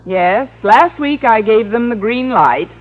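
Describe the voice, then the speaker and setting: Sardonic single teacher, dry wit, high animated voice, old radio sitcom style, muffled recording. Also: female voice, a little slow. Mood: wry narration. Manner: dry wisecracking delivery. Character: wry, sardonic single schoolteacher, sensible and caring. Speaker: star and narrator of the comedy. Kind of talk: 1950s radio sitcom acting and narration.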